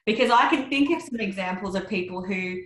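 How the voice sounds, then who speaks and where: A woman moderating a discussion, speaking in a measured, thoughtful way. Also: medium pitch, a bit bright, a little hiss or hum. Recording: echoey room.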